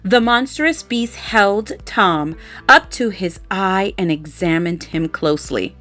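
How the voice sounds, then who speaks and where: Woman storyteller, slow pace, animated higher voice, playful asides, faint music behind. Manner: expressive, adds playful asides. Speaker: storybook reader. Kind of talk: bedtime story read-aloud.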